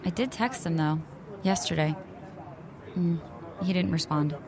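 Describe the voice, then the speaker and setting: An actress playing a friendly young student in casual audio drama dialogue. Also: female voice, lowish voice, a little slow, crowd noise.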